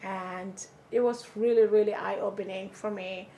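A middle-aged woman from Somalia recounts her life in an oral history interview, in a mid-range voice. She talks in an easy storytelling way and grows animated when she quotes others. Faint ambience sits in the background. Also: medium pitch, a little slow, highs cut off, slight background noise. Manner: conversational storytelling, animated when quoting people.

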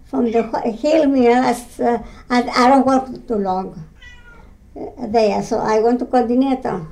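A woman in her nineties from Greece with a Greek accent, recounting her mill work in an oral history interview at home, halting with many fillers and simple non-native grammar. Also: on the high side, creaky, a little mellow, poor audio. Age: 90s. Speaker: interviewee, retired mill weaver.

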